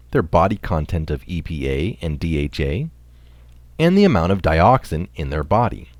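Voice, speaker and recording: A man's voice: deep voice, a little mellow, no echo. A man reading a science article aloud as scripted narration. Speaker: article reader.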